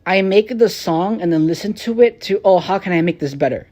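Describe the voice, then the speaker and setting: A young man, a streamer and rapper, ranting on a live stream in a rambling, profane, frustrated way. He drops into low mutters and then bursts out loud. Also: animated, a little fast. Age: young adult. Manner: swings between low mutters and raised outbursts.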